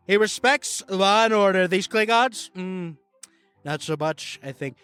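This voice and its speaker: A boastful populist candidate, played by a man, speaks in a high voice over music. He rambles through folksy, repetitive asides as in a parody campaign ad. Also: unhurried, fairly bright tone, clean tone. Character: bragging, rambling male populist politician running for president.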